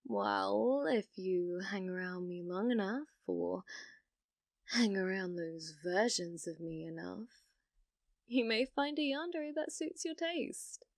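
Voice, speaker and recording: Woman acting out a slow, teasing, flirty yandere date. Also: medium pitch, lively intonation, vocal fry, dry recording, studio quality. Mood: playful, coaxing. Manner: teasing, coy, slow and deliberate, drops to whispers. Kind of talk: ASMR roleplay.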